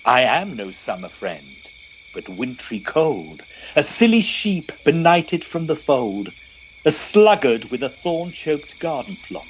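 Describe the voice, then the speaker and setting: A man giving a solemn, deliberate and dramatic recitation of poetry. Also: mid-range voice, sing-song, a little hiss or hum. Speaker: poetry reader.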